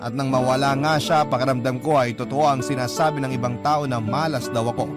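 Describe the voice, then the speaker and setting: A man playing a grieving, self-blaming orphan who narrates a life story in a solemn, measured, sentimental radio drama style. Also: mid-range voice, fairly even intonation, close to an everyday voice. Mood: sorrowful. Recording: music bed with guitar.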